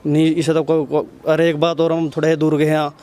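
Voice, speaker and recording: Male interviewee, Haryanvi accent, flat intonation, slightly high pitch, halting rambling answers. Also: clean tone.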